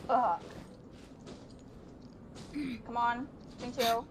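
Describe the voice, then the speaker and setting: A woman's voice: a little high, steady tone, drawn-out words, background noise. A woman giving casual live commentary as a game streamer, blunt and sarcastic. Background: game music.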